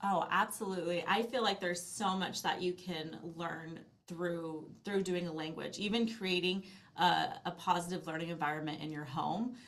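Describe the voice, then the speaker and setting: A woman's voice: lowish voice, fairly even intonation, moderate pace, a bit bright. A middle-aged woman speaking as a podcast interview guest, explaining in an earnest, conversational way and often restarting her phrases. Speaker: interview guest, language learning company founder.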